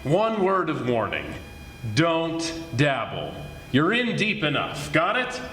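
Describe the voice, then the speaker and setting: A man playing a stern, wry police detective in a 1940s-style radio mystery, with a dry, deliberate manner. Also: on the high side, huge pitch swings, fairly bright tone, heavily acted. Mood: stern, warning. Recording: roomy echoey stage recording with noise.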